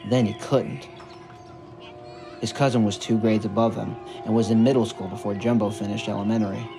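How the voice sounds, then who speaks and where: A man reading a scripted story narration in a measured, earnest way. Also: lowish voice, level tone, vocal fry. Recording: music bed under the narration. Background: background music.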